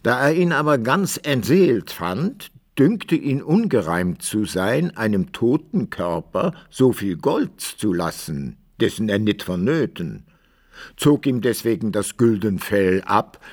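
An actor playing an author who narrates his hero's adventures in a deliberate, measured way, in archaic German for a radio play. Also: lowish voice, very animated, exaggerated voice. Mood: solemn narrating. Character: male author-narrator fondly recounting his hero Simplicius's adventures.

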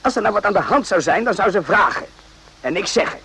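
A man's voice: sits high, lively intonation, fast talker, creaky, bright, forceful tone, heavily acted. A man acting in a radio drama as an evasive, boastful, naive army private, hesitant and repeating himself. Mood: confiding.

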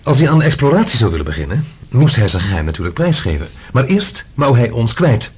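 Suspicious explorer, very raspy, lively intonation, deliberate, radio play. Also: male voice, medium pitch. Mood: suspicious, reasoning. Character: suspicious male expedition member reasoning out a plot. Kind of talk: Dutch science-fiction radio drama acting.

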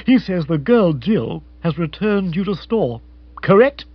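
Guy doing a hearty posh english blusterer, high and swooping, pompous, a bit lo-fi. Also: sing-song, a little slow, no room sound, full character voice. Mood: blustering, consoling. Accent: upper-class English. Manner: loud, jovial, pompous. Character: blustering, hearty, tactless upper-class English husband.

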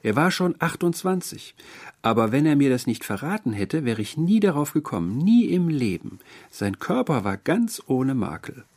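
A man voicing a sweet, doting middle-aged landlady in an audiobook story, cooing and over-familiar with a quietly eerie edge. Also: mid-range voice, sing-song, normal speed, voice pushed up. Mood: admiring, eerie.

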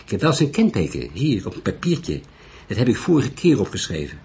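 A man voicing an upset, blunt brothel landlady who talks in a quick, indignant, colloquial way, in an audiobook story. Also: lowish voice, huge pitch swings, darkish tone, husky, heavily acted. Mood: grumbling.

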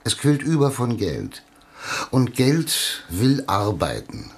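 A self-important small-town newspaper boss in a radio play. He is pompous and preachy, his pitch swinging widely as he hammers his points home and repeats them with scheming relish. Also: wildly swooping pitch, takes it slowly, full character voice. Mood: sly, speculative. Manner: pompous, emphatic, repeats himself for effect. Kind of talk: German radio play acting.

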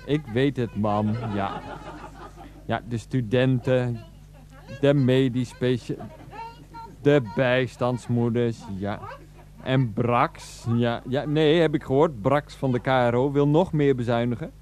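Man playing exasperated grown son, radio comedy drama, phone conversation, defensive, halting, placating, mid-pitched voice. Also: darkish tone, close to an everyday voice. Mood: wearily agreeing. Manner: halting, placating, repeating himself. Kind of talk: naturalistic 1990s radio comedy drama acting.

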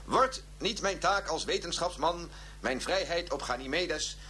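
An actor playing a grave physicist professor making a deliberate, declamatory public statement in a radio drama. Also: male voice, a little high, sharp tone, a little hiss or hum.

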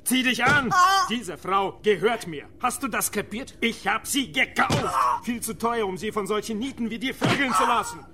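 Sneering, high-pitched brutal pimp. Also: male voice, animated, moderate pace, noisy background. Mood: menacing contempt. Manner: menacing, taunting, contemptuous. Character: brutal, sneering pimp hunting down a runaway woman. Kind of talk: radio drama acting.

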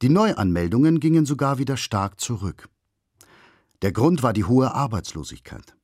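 A man reading scripted documentary narration in a measured, factual manner. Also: mid-range voice, big pitch swings, a little mellow, husky, no echo, studio quality. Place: studio.

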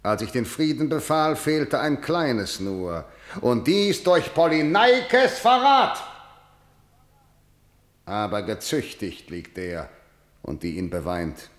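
An actor playing a proud, harsh middle-aged tyrant in a verse radio drama, declaiming imperiously. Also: male voice, mid-range voice, expressive, measured pace, few pauses, echoey room, lightly acted. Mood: stern, bitter.

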